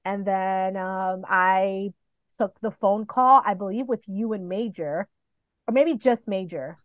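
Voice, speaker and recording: A woman from New York City and the Dominican Republic chatting casually as an interview guest, telling stories in an animated, playful way. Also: mid-range voice, takes it slowly, dry recording, muffled recording. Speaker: podcast guest, professional wrestler.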